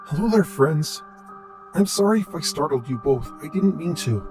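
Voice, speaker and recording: A man voicing a strange, eager lost wanderer who speaks in an overly polite, halting way, in a storytelling narration. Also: fairly high pitch, animated, music in the background, voice pushed up, natural voice. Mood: polite, friendly.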